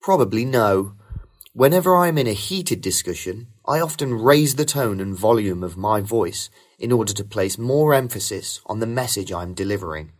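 Man giving rehearsed test answers, lively pitch. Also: normal speed. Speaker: sample test candidate. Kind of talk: scripted model speaking-test answers.

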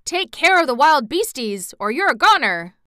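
A woman voicing an old male farmer who shouts folksy exclamations in alarm, in a storybook reading. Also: high voice, very animated, normal speed, no room sound, clean studio sound, pitched above the performer's own voice, heavily acted. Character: elderly male farmer, gruff and flustered.

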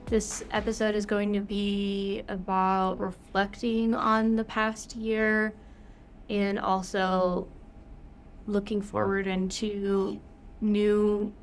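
A woman's voice: mid-range voice, level tone, slow, soft background music. A young woman co-hosting a podcast, talking in a casual, upbeat way while she has a cold. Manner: upbeat, thinking aloud with fillers. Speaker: podcast co-host, yoga teacher.

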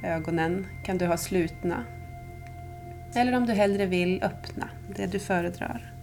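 Female meditation guide, slightly low register, soothing and unhurried, clear music bed underneath. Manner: calm, unhurried, soothing, with long pauses. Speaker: yoga nidra instructor.

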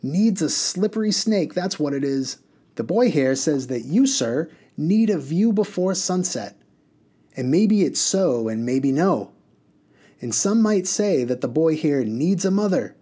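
A man's voice: on the high side, sing-song, measured pace, mellow, slightly rough, pitched above the performer's own voice, full character voice. A man voicing a reckless, gleeful bus driver who bellows and rambles in sing-song riddles. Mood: bellowing riddles.

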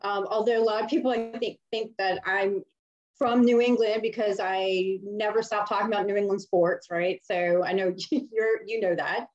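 A woman's voice: medium pitch, normal speed. Chatty woman guest telling a story, lots of "um" and "you know", lo-fi dull sound. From Miami. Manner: chatty, animated, many fillers and laughs. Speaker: HR leader, guest. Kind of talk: casual interview storytelling. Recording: slightly dull lo-fi audio.

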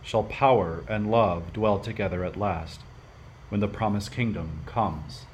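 A man playing a stately, commanding warrior king in a radio play, measured and declamatory. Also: fairly low pitch, a little hiss or hum, close to an everyday voice, poor audio. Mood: grave, stately. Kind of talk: amateur radio play acting.

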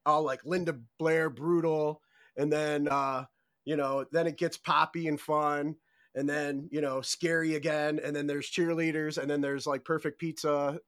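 A man's voice: on the high side, little pitch movement, a little slow, a bit bright, dry recording. Middle-aged male podcast host, dry sound. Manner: loose, rambling questions with "you know" fillers. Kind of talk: casual interview chat.

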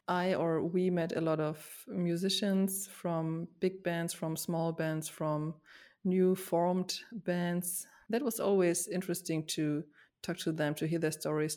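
A woman talking casually about herself in a reflective, slightly halting way, as in a conversation between co-hosts. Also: on the low side, steady tone, darkish tone. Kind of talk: casual personal chat.

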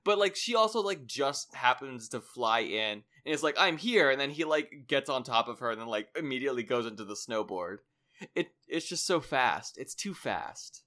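Bright, giggly, lively podcast co-host. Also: mid-range voice, normal speed. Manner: giggly, animated, lots of "like". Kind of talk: casual film review chat.

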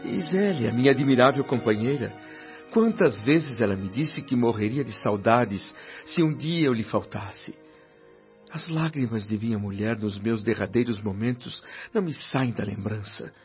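An actor playing a grieving, self-pitying middle-aged doctor in a radio drama, lamenting and pleading. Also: male voice, mid-range voice, normal speed, lightly acted. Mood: anguished. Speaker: André Luís. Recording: dull radio drama audio with faint music.

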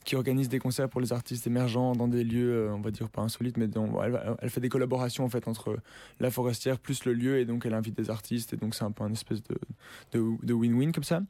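A man's voice: mid-range voice, quick pace, no room sound. A young man, a musician chatting casually in an interview, loose and slangy with lots of fillers. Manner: relaxed slangy talk with many fillers and restarts. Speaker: musician guest.

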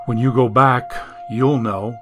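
A man reading an audiobook, voicing a calm modern man who steadily reassures a frightened friend. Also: medium pitch, slow pace, faint music behind, voice pushed down. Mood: reassuring. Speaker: audiobook narrator.

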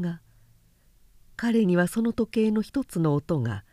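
A woman narrating literary fiction aloud as an audiobook, with measured, deliberate phrasing and pauses. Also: on the low side, mellow, dry recording. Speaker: narrator reading a novel aloud.

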